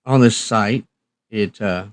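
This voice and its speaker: A man's voice: on the low side, slow pace, dry recording. A man hosting a casual live stream, talking in a folksy, rambling and hesitant way with trailing phrases. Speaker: live stream host, radio reviewer.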